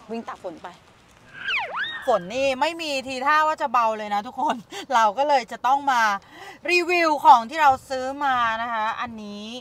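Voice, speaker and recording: A woman's voice: on the high side, very noisy. A woman in her thirties, vlogging casually as she walks a grocery store and tastes food in her car, talking straight to viewers, recorded on a phone held at arm's length. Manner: chatty, addresses viewers directly, excitable. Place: supermarket aisles and parked car. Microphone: handheld phone camera at arm's length. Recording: phone video with music underneath. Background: background music.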